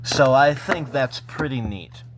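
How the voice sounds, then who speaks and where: A man talking casually and unscripted as he shows off a personal collection, halting between phrases. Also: mid-range voice, noisy background. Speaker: vlogger showing a personal collection. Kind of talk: casual unscripted show-and-tell.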